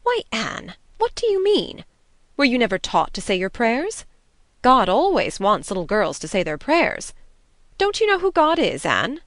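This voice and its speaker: Woman reader doing a strict prim old lady, scolding, pitch jumps all over, dry close sound. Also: wildly swooping pitch, measured pace, a bit bright, no room sound, exaggerated voice. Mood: shocked. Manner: prim, reproving, stiff. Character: stern, strict older woman scolding a girl. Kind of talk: audiobook character reading.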